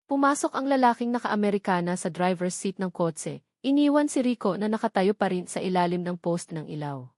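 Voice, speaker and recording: A woman with a Filipino accent narrating a scripted love story in a measured, expressive, dramatic way. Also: medium pitch, dry recording, clean studio sound.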